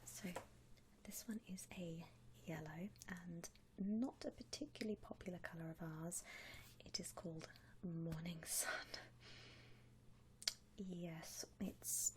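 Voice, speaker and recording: A woman playing a gentle vampire coffin saleswoman in a whispered ASMR roleplay, unhurried, with hesitant pauses and soft hums. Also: lowish voice, fairly even intonation, noisy background. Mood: soft, confiding. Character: softly whispering female vampire coffin saleswoman, attentive and discreet. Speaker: ASMR performer playing a shop consultant.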